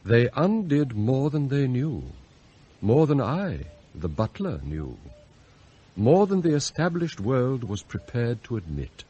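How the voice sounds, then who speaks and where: Radio drama butler: English, very raspy, formal and deferential with sly depths, hugely varied intonation. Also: male voice, mid-range voice, huge pitch swings, mellow, full character voice. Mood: wry, musing. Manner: formal, measured, ornate wording. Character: outwardly immaculate, deferential, quietly plotting English butler. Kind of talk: radio play acting and narration.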